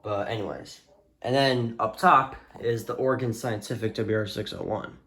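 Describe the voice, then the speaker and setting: A man's voice: on the low side, moderate pace, a bit bright. Casual, rambling male hobbyist. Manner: hesitant, offhand, mutters to himself. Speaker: hobbyist showing a radio collection. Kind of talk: casual rambling show-and-tell.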